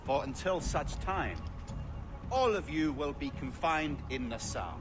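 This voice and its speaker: A man's voice: a little high, lively intonation, a little slow, music in the background. A man playing a formal, composed colonial governor in a video game, courtly and authoritative. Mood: persuasive, stern. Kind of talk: video game cutscene acting.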